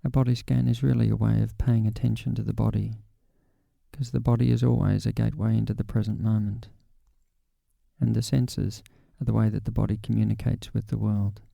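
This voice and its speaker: A man guiding a body scan meditation in a calm, gentle, unhurried way with long pauses. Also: fairly low pitch, vocal fry, no room sound. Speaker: meditation guide.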